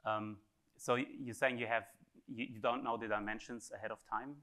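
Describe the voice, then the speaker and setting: A man speaker answering audience questions after a technical conference talk, pausing often with fillers and restarts. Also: on the low side, fairly even intonation, sharp tone. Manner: hesitant, many fillers and restarts.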